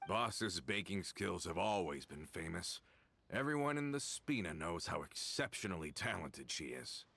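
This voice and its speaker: A man's voice: sits low, big pitch swings, moderate pace, vocal fry, bright, forceful tone, heavily acted. An actor playing an older, loyal male worker in a game, earnest and nostalgic. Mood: proud. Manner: reminiscing, earnest. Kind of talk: game voice acting.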